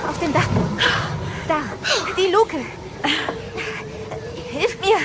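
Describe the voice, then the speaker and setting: An actress playing a tough, urgent witness on the run in a German audio drama, speaking tersely. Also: female voice, very high-pitched, very slow, heavily acted. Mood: tense, urgent. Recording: clearly noisy ambient effects behind voice.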